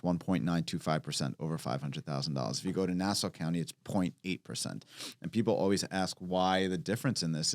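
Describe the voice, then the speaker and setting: A man's voice: deep voice, no room sound. A man co-hosting a real estate podcast, explaining and asking follow-up questions in casual talk. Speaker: podcast co-host. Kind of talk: casual panel discussion.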